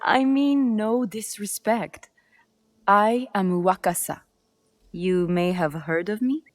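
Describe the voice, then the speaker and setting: A woman's voice: mid-range voice, slow pace, no room sound, soft background music, close to an everyday voice. An actress playing a bold, boastful, self-assured noblewoman of feudal Japan in a video game cutscene. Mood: playful, brazen. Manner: self-assured, teasing, haughty.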